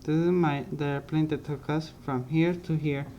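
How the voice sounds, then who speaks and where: A young man casually narrating his life story over drawings, halting often and correcting himself as he goes. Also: fairly high pitch, fairly even intonation, warm tone, dull audio, a little hiss or hum. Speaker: vlogger.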